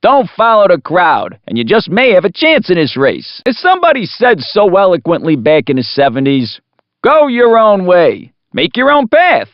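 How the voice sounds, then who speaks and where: An actor playing a cocky, folksy, wisecracking radio announcer who does showy, teasing race commentary in a video game. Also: male voice, high voice, huge pitch swings, normal speed, no room sound. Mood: chatty, wisecracking. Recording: dry, slightly dull compressed game audio.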